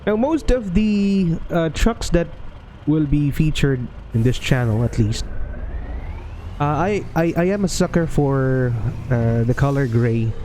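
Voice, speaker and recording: A man with a Filipino accent giving relaxed gameplay commentary as a channel host, talking hesitantly with many fillers and restarts. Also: fairly high pitch, slow pace, a little hiss or hum. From Philippines.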